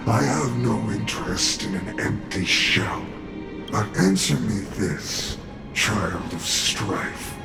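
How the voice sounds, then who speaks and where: Menacing male swordsman, deep gravelly voice, scornful, echoing, game villain. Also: exaggerated voice. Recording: echoing voice under loud music.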